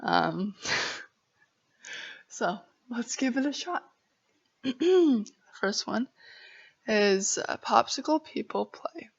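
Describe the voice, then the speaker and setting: An American woman chats casually to camera with a stutter and a creaky voice. She speaks very slowly and haltingly, apologetic and giggly as she goes. The audio is dull and slightly muffled. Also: on the high side, very animated. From Arizona, United States. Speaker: vlogger.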